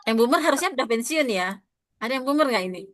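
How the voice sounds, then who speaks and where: A woman in her thirties with an Indonesian accent lectures a class of teachers. She keeps turning to her listeners with questions and fills her pauses with hesitations. Her voice is recorded close and dry, with no room sound. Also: fairly high pitch, animated, a bit bright, dry recording. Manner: addresses audience often, asks questions, frequent fillers. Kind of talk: interactive teacher-training lecture.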